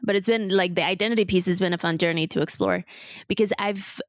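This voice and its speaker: A woman from Mexico City, talking as a guest in a relaxed, candid way and laughing easily. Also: fairly low pitch, quick pace, no echo, studio quality. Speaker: podcast host and guest. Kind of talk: casual interview chat.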